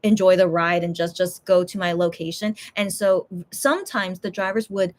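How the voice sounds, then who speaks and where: A woman's voice: on the low side, normal speed. A woman chatting casually with her viewers, rambling through her thoughts with many fillers and restarts. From USA. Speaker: YouTuber, channel host.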